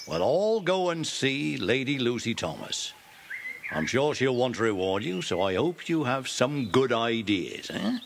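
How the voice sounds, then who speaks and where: An actor playing a kindly, commanding police inspector in an audio drama, firm and genial. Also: male voice, medium pitch, background noise.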